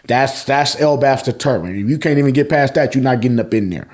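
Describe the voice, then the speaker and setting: A man's voice: mid-range voice, expressive, a little fast, darkish tone. An American man hosting a casual, slangy manga review, swearing freely and repeating phrases for emphasis. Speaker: host of a manga review show. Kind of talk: casual, slangy chapter recap commentary.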